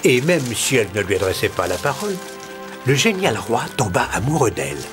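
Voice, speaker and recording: A man's voice: mid-range voice, sing-song, brisk. A man narrating a fairy tale as a storyteller, in a scripted reading. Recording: music behind the narration.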